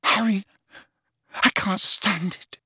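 A man voicing a lovesick teenage boy in an audiobook, breathy and strangled, moony and overwrought. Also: sits high, slow, no room sound, studio quality, pitched above the performer's own voice, heavily acted. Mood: anguished. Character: lovesick teenage boy under a love potion, dreamy then furious. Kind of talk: audiobook character voice.

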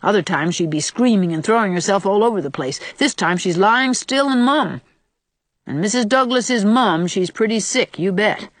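A man's voice: high voice, animated, moderate pace, fairly bright tone, no echo. A woman voicing a solemn, plain-spoken countryman with dry, folksy speech in an audiobook. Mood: solemn, cryptic. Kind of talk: audiobook character voice.

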